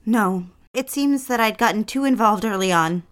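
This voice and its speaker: A woman's voice: mid-range voice, normal speed, fairly bright tone, lightly acted. An actress playing an anxious young female secretary, apologetic and unsettled. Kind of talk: audio drama acting.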